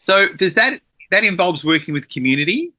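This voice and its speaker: A man's voice: high-pitched, sing-song, fairly quick, sharp tone. A man hosting a podcast interview, upbeat and friendly as he asks questions. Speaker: podcast host.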